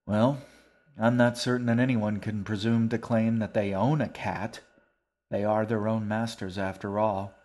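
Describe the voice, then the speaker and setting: A man voicing a genial, wordy older gentleman in an audiobook, with formal, long-winded speech. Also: fairly low pitch, flat delivery, a little slow, close to an everyday voice. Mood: expansive. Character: genial old bearded man, wordy and grand. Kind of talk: audiobook character voice.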